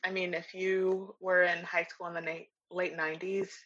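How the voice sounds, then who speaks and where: Chatty woman host, husky voice. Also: fairly low pitch, level tone, moderate pace, fairly bright tone. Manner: friendly, chatty, uses y'all. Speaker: podcast host. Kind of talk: casual interview chat. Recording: dull lo-fi sound.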